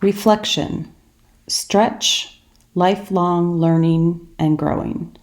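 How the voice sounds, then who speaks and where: A woman reading a scripted, reflective guided meditation in a calm, measured way. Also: lowish voice.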